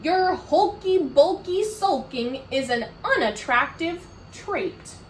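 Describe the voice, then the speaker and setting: A woman's voice: high-pitched, animated, slow, slight background noise, voice pushed up. Woman playing a blunt, bossy octopus. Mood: scolding. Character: blunt octopus with eight arms, scolding. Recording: roomy echo, distant mic.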